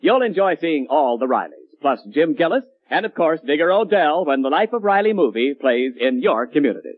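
A man's voice: a little high, very animated, fairly quick, no echo. A man working as a radio announcer, reading scripted promotional copy in 1940s broadcast style. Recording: tinny old radio recording, faint music.

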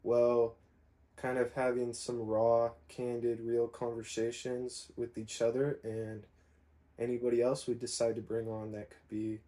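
Laid-back young man, mellow low voice. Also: measured pace. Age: 20s. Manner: relaxed, rambling, many fillers. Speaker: podcast co-host. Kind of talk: casual conversation.

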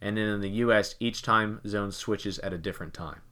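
A man's voice: on the low side, fairly even intonation, a little fast, a bit bright. A man from Kentucky co-hosting a casual podcast, rambling and joking as he quizzes his cohost with leading questions. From Kentucky, United States. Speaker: podcast host.

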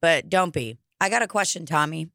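A woman's voice: low-pitched, animated, fairly quick, fairly bright tone, no echo, studio quality. A woman hosting a podcast in casual chat, rambling and jokey with lots of filler words. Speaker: podcast host.

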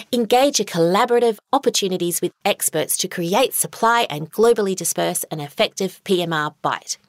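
A woman playing an earnest, bureaucratic high school principal, speaking in a measured, formal deadpan for a comic audio story. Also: dry recording, clean studio sound. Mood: matter-of-fact. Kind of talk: acted comic monologue in an audio short story.

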